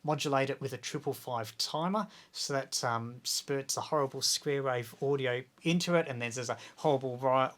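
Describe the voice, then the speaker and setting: Male interview guest, Australian accent, middle-pitched voice, keen hands-on explainer in relaxed conversation. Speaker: guest, amateur radio video maker and author. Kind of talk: casual interview, explanatory chat.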